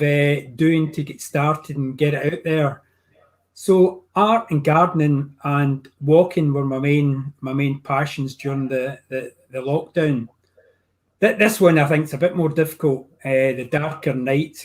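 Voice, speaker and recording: An older man with a Glasgow accent talks over a video call from his home art studio. His voice sits a little high for a man. He chats in a rambling, easygoing way, often restarting his sentences. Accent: Glaswegian. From Scotland. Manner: chatty, rambling with many restarts. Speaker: painting teacher. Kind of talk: casual video call chat.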